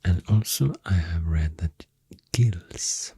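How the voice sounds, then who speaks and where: A man with a Swedish accent doing soft-spoken, often whispery ASMR, explaining things in an unhurried, hesitant ramble close to the microphone. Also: deep voice, husky, dry recording. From Sweden. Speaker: ASMR creator. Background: pencil writing and clicking sounds.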